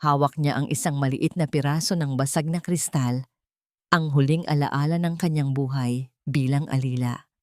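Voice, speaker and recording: A woman reading a scripted story as an audiobook narrator, with a measured, dramatic delivery. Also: extremely low pitch, steady tone, darkish tone, no room sound, studio quality.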